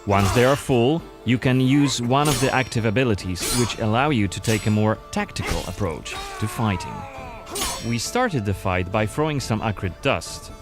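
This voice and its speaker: A man's voice: on the low side, lively intonation, normal speed. A man presenting a game demo in a scripted narration style. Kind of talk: scripted gameplay showcase narration. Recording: music under the voice. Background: background music.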